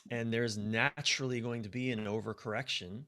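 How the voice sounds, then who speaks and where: A middle-aged man talking reflectively and conversationally as a podcast guest, emphatic, often halting and repeating words. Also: mid-range voice, steady tone, sharp tone. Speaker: podcast guest, life and relationship coach. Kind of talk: conversational, reflective interview talk.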